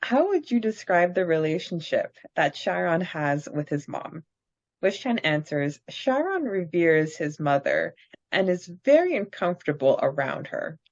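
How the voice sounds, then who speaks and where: A woman's voice: fairly low pitch, a little mellow. A woman co-hosting a casual fan podcast who chats in an easygoing way and says "like" a lot. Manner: chatty, uses "like" often. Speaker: podcast co-host. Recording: dull lo-fi mic sound.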